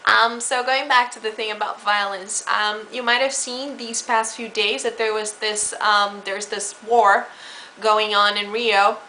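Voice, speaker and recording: A woman's voice: mid-range voice, fairly even intonation, measured pace, bright, forceful tone, slight background noise, poor audio. A woman from Brazil, explaining her home country in a chatty, casual way with fillers and restarts. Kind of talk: casual spoken talk.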